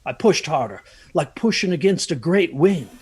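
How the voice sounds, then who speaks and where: A man's voice: on the high side, lively intonation, normal speed, darkish tone, slightly rough. A man acting an anxious, haunted narrator of a dramatic first-person ghost story, hesitant and brooding in his delivery. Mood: haunted, tense. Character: anxious haunted man recalling a stormy night.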